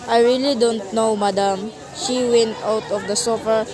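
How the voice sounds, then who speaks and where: A polite, unruffled hospital receptionist, acted by a woman, with a slightly high voice and businesslike radio-play delivery. A murmur of people sits behind her. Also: fairly even intonation, moderate pace, a crowd in the background, close to an everyday voice. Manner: formal, unruffled.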